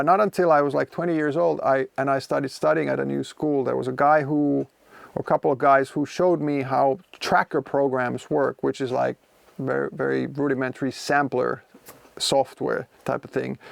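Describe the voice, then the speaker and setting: Gravelly Finnish man chatting on a stream. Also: medium pitch, fairly even intonation, normal speed, no room sound. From Finland. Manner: rambling, conversational, many fillers and restarts. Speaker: music producer streaming from his studio. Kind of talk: casual livestream chat, answering viewers. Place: home music studio.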